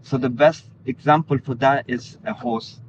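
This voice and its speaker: A man from Denmark speaks earnestly in a middle-pitched voice, pausing and restarting as he explains himself. The recording is slightly dull, with a faint hiss underneath. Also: fairly even intonation. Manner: earnest, hesitant with many restarts. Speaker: interviewee. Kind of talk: casual conversation.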